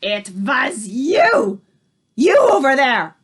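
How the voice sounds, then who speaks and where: A woman reading aloud, voicing the tiny Grand High Witch, a furious and commanding female witch leader who shouts and speaks in odd broken grammar. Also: big pitch swings, slow, full character voice. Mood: enraged. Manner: shouting, shrieking, odd foreign-sounding grammar.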